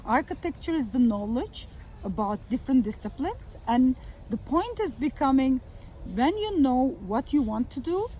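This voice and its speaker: A middle-aged woman from Turkey speaks with a mellow, slightly high voice. She talks haltingly, filling gaps with "uh" and "like". Recorded outdoors in a garden with faint background hiss. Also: lively intonation, poor audio. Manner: hesitant, many fillers. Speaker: architecture professor. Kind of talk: outdoor conversation.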